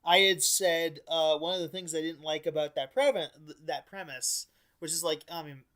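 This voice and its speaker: A man's voice: on the high side, moderate pace, pushed and bright. A man chatting casually as a podcast co-host, rambling in stops and starts with plenty of filler words. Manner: rambling, filler-heavy, stop-and-start delivery.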